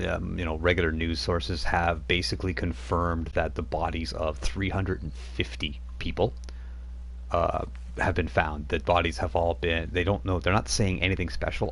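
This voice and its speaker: A man's voice: low-pitched, slight background noise. A man running a tabletop role-playing game on a live stream, rambling with frequent restarts as he narrates. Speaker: game master.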